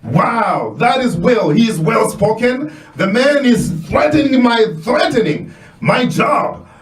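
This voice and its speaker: A man with a Kenyan accent hosting a radio interview in a studio, exclaiming often and talking with animated, effusive energy. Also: very high voice, takes it slowly, roomy sound, far from the mic, low-quality recording. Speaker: radio show host.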